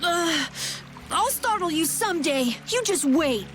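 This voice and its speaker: A woman voicing a jumpy, excitable young boy adventurer in a video game, whiny and easily startled. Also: extremely high pitch, measured pace, slight background noise, heavily acted. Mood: grumbling. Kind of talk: English video game voice acting.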